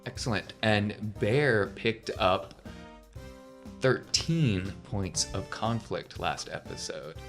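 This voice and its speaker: A man's voice: on the low side, expressive, takes it slowly, a bit bright, soft background music. A man hosting a tabletop roleplaying podcast as game master, in an encouraging, conversational way.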